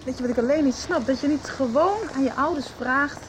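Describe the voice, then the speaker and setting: A woman playing a troubled, reluctant teenage daughter in a radio soap, speaking haltingly. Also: high-pitched, close to an everyday voice, low-quality recording. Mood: puzzled, insistent. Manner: hesitant, halting. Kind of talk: 1990s radio soap acting. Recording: radio drama with background noise.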